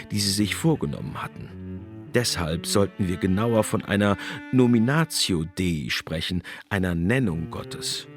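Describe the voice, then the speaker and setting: Male narrator, deep-ish register, measured documentary storytelling, faint music underneath. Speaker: narrator of a documentary radio play. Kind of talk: scripted narration.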